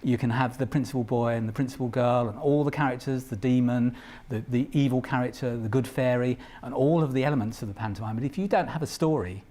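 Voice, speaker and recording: A man's voice: mid-range voice, quick pace, hardly any pauses, warm tone. A man giving an explanatory interview, speaking emphatically and repeating phrases for stress. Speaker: pantomime commentator.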